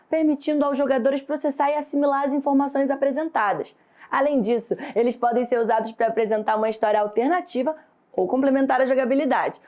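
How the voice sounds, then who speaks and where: A woman with a Brazilian Portuguese accent reading a scripted educational lesson in a clear, measured, didactic manner. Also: on the high side, a little mellow, lo-fi sound. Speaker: instructor, lesson narrator.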